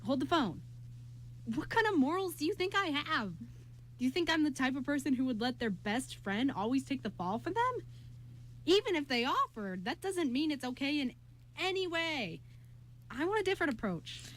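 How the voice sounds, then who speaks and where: A woman plays an earnest, kind-hearted young man in an amateur radio play. The voice sits fairly high and the delivery is halting and pleading. A hum and some noise run under the recording. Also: big pitch swings, fairly bright tone. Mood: indignant.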